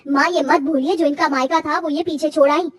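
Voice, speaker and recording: A woman's voice: high-pitched, fairly even intonation, a little fast, crowd noise, natural voice. A woman voicing a caring, outspoken young daughter in a cartoon family story, earnest and assertive. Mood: indignant. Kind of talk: cartoon moral-story acting.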